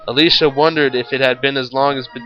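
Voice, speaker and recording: A man's voice: medium pitch, steady tone, fast talker, muffled recording, faint music behind. A man reading a story aloud in a theatrical, mock-serious way. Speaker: story reader, show host.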